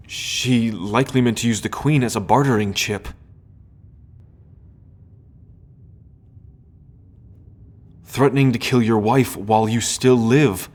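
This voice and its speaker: Male actor as earnest fantasy prince, lowish voice, very slow pace, formal measured diction, loyal and sincere, audio roleplay. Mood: concerned, earnest. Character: earnest, grieving prince, loyal sibling of the dragon heir.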